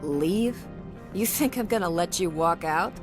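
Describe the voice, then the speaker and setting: A woman's voice: lowish voice, expressive, a little slow. A woman voicing a menacing, cocky mercenary guard in a video game, taunting and confident. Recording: music behind the voice.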